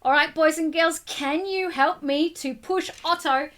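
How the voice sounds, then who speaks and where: Bright, high-pitched woman reading to children. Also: unhurried. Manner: expressive, addresses children directly. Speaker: school storytime reader. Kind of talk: picture book read-aloud for children.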